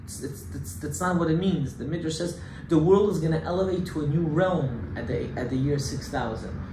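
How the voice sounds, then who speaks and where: A man's voice: fairly high pitch, a little fast. Man, rabbi, emphatic Torah lecture, rhetorical questions, Hebrew terms in English, reverberant room. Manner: emphatic, rhetorical questions, acts out objections loudly. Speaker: rabbi giving a Torah class. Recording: echoey room with faint background noise.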